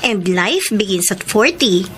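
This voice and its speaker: A woman's voice: mid-range voice, sing-song, measured pace, a little hiss or hum. An actress playing an affectionate but firm young woman in a Filipino radio drama, reasoning and protesting expressively. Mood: gently deflecting. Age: young adult. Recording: loud music under the voice.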